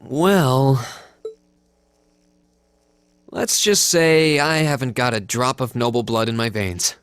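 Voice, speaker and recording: An American man voicing an easygoing, modest teenage hero in a video game, with a relaxed, friendly manner. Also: mid-range voice, takes it slowly, clean tone, no echo. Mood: calm, casual.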